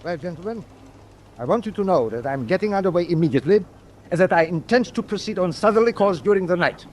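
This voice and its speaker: Over faint ship noise, a commanding German sea captain in a radio drama. His voice sits fairly high and swings in pitch. He is impatient and evasive, insisting on his way. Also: animated, fast talker. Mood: indignant, resolute. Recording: noisy audio with ship ambience behind.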